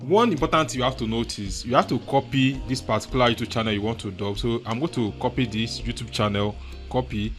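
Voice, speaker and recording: A man's voice: fairly low pitch, a little mellow. Nigerian guy doing a screen tutorial, says "actually" a lot, faint music behind. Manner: chatty, leans heavily on filler words like "so" and "actually". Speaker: tutorial host. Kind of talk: screen-recorded software tutorial.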